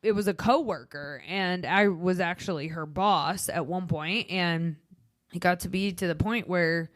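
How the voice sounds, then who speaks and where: This is a woman in her thirties with a Chicago accent, talking on a podcast in a frank, emphatic way. Her voice sits a little low, and the recording is close and dry. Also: unhurried, no room sound. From Midwestern United States. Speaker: podcast co-host. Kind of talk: casual podcast chat.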